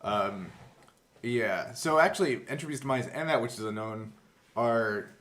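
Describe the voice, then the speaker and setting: A man's voice: mid-range voice, a little slow, a bit bright. A man chatting casually as host and game master on a podcast. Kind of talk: casual chat about a recorded tabletop game show. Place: indoors, in one room with the co-hosts.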